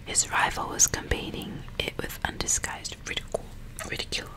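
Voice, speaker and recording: ASMR reader, female: fully whispered, breathy, soft and deliberate storytelling. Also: rough recording. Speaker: ASMR host reading a novel aloud. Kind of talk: ASMR, whispered book reading with casual asides.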